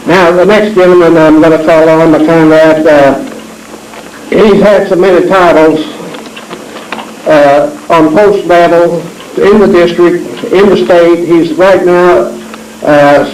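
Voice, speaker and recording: A man's voice: a little high, fairly even intonation, slow pace, darkish tone, slight background noise. A man, emceeing a veterans' event and introducing speakers casually with many fillers. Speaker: master of ceremonies. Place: reunion hall with echo. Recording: echoey hall amateur recording.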